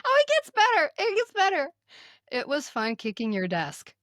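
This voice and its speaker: Middle aged american woman podcast host, higher voice, close dry sound, chatty and emphatic, repeats herself. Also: huge pitch swings, moderate pace, fairly bright tone, no room sound. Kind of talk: casual chat with animated reading aloud of old notes.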